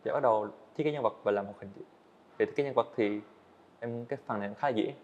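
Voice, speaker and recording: A man's voice: medium pitch, fast talker, a little mellow, dull audio. A young man, a guest animator, earnestly explaining his work step by step in a casual interview on a studio set.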